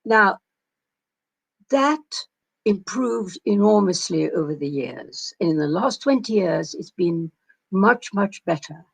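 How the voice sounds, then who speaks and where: Slow, gravelly older woman from Palestine. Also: mid-range voice, animated. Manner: measured, reflective, with many pauses and fillers. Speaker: keynote speaker. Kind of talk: conference keynote talk.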